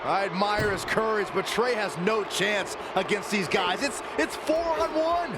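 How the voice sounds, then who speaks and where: A man playing an earnest, excited wrestling commentator in a video game. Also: sits high, unhurried, heavy background noise.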